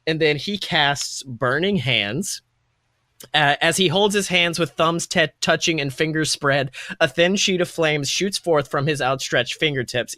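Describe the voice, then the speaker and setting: A man's voice: fairly high pitch, bright, forceful tone, dry recording, clean studio sound. A young man casually narrating a tabletop game as game master, playful, exclamatory and sweary. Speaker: game master and podcast host.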